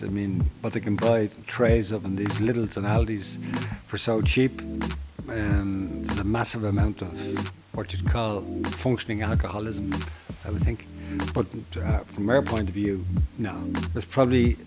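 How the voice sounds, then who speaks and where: A middle-aged Dublin man who manages a homeless service, answering interview questions earnestly with many halting restarts. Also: fairly low pitch, fairly even intonation, over loud music. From Ireland.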